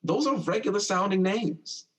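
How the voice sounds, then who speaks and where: A young man acting in an audio drama as a passionate, teasing, protective older brother with an expressive, streetwise way of talking. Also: sits high, moderate pace. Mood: animated, reassuring. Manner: expressive, streetwise, informal storytelling.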